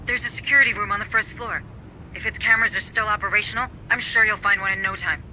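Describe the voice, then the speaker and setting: An actor playing a brisk, self-possessed technical expert in a video game, matter-of-fact and slightly wry. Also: mid-range voice, steady tone, sharp tone, a little hiss or hum, low-quality recording.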